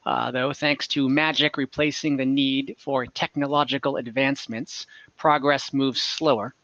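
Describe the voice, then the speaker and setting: A man speaking as game master of an online tabletop game, halting and pausing to think as he sets the scene. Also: medium pitch, level tone, bright, forceful tone, no echo. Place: indoor room on a group video call. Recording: dull video-call audio.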